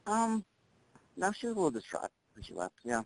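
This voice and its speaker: A man's voice: sits high, huge pitch swings, fairly quick, gravelly, slight background noise, exaggerated voice. A man playing a middle-aged dentist with an American accent, giving a hesitant, matter-of-fact witness report in a simulated phone call. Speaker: caller reporting an incident.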